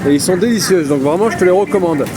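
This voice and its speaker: A man's voice: high voice, fast talker, a loud crowd. A man hosting a casual vlog interview, upbeat and chatty, talking straight to his viewers. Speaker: YouTube host and interviewer. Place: outdoors at a Bangkok night market. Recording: loud street and traffic noise.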